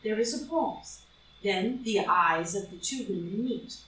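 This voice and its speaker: Slow woman narrator in a roomy space. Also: mid-range voice, a bit bright. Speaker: narrator reading stage directions. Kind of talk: scripted narration. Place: indoor room, live group reading. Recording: roomy, distant live reading, faint noise.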